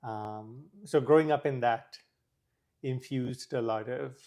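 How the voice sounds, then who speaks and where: A man's voice: mid-range voice, takes it slowly, darkish tone. A young man from Sri Lanka, a guest talking casually in an interview, with many fillers.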